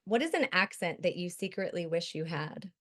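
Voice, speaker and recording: A woman hosting a casual interview, asking rapid-fire questions in an eager, upbeat way and laughing often. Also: lowish voice, expressive, fairly bright tone. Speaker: interview host.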